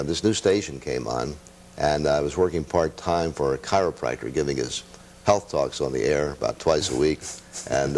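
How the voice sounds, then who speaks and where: An older man with a very low voice talks as a radio veteran and interview guest. He tells anecdotes in a halting, modest, self-deprecating manner, casually looking back. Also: a little mellow. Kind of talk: casual chat, reminiscing.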